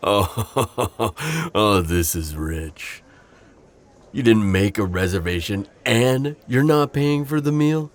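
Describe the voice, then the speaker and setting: A man's voice: on the low side, takes it slowly. A man playing a sardonic, protective civilian coworker with something to hide, in an audio roleplay. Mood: mocking. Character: sardonic, protective male civilian coworker hiding a secret identity.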